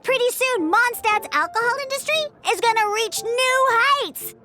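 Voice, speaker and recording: A woman's voice: very high voice, lively intonation, measured pace. A woman voicing a grumpy, sassy young cat-eared bartender girl who grumbles and sighs, in a game. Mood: scheming. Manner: grumbling, sighing, exasperated.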